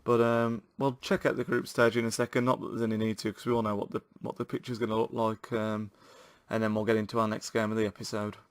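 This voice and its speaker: A man with a sore throat, giving excitable, chatty commentary to viewers while playing a football video game. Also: on the low side, fast talker, hardly any pauses, dry recording. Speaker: gaming channel host.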